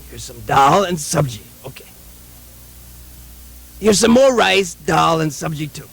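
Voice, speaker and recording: Puppet show casting: gluttonous, sanctimonious holy man, swooping and husky, fussy, exclaiming, creaky. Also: fairly high pitch, lively intonation, measured pace, a bit bright, exaggerated voice, low-quality recording. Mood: pushy insistence. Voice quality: raspy, creaky. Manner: insistent, exclaiming, repetitive, sanctimonious. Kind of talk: theatrical puppet show acting.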